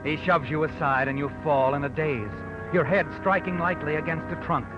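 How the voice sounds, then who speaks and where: An actor playing a sardonic, knowing narrator, telling a 1940s radio mystery in an insinuating, dramatic second person. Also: male voice, on the high side, fairly even intonation, moderate pace, lightly acted. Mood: suspenseful. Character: sardonic, knowing, sinister storyteller addressing the guilty woman. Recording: dull old radio broadcast, music behind.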